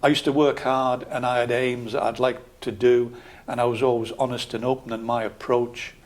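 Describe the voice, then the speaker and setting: Calm older man with a Geordie accent. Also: mid-range voice. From Scotland, raised in Newcastle area. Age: 60s. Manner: measured, matter-of-fact, calm. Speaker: local councillor, interview guest. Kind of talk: calm interview answers.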